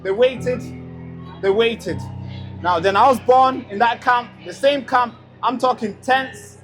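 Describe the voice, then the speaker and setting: High-pitched man speaking at a rally. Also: slow, a bit bright. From Tanzania. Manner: emphatic and repetitive, addressing a crowd. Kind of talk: outdoor rally speech. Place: outdoor rally. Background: music.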